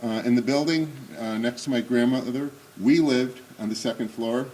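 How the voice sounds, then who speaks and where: An older man in his sixties speaks at a middling pitch, presenting slides and reading excerpts aloud at a synagogue event. His delivery is measured and halting, full of small pauses and fillers. A faint background hum sits behind his voice. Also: darkish tone, rough recording.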